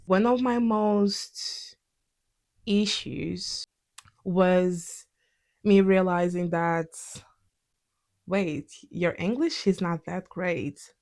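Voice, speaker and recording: A woman's voice: on the low side, fairly even intonation, extremely slow, pauses often, darkish tone. A young woman with a Portuguese accent, a native Portuguese speaker, talking candidly in a conversational, reflective personal vlog. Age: young adult. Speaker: vlog host.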